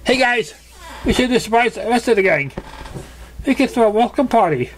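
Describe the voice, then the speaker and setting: A reader voicing a bubbly, excitable young female cartoon pony in a chirpy, bouncy way. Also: medium pitch, moderate pace, a little hiss or hum, pitched above the performer's own voice, natural voice, rough recording. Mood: excited.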